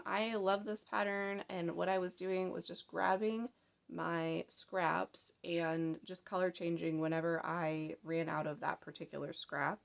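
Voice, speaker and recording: Hoarse-ish lady with a cold, kinda low and gravelly, rambly chatty craft vlog at home. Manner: chatty, rambling, lots of fillers. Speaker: crochet pattern designer and vlogger. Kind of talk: casual show-and-tell chat. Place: home craft room in daylight.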